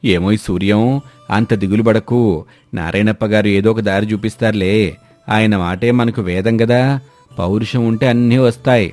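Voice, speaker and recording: A man's voice: fairly low pitch, fairly even intonation, moderate pace, a little mellow, faint music behind, natural voice. A man playing a resigned, consoling older village uncle with rustic colloquial speech in a moral story. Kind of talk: dramatised moral-story dialogue.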